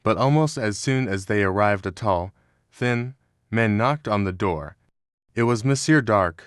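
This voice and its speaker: Male storybook narrator, scripted read, fairly deep, measured drama, no room sound. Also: clear, resonant tone, no room sound, clean studio sound.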